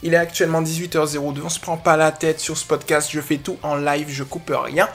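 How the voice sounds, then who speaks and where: A man's voice: on the high side, little pitch movement, brisk. A man talks off the cuff as a podcast host, over a light bed of guitar music. His delivery is informal and playful, full of slang and stray English interjections. It feels like unscripted advice given straight to one listener. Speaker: podcast host and dog trainer. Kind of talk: casual improvised podcast, chatty advice to a listener.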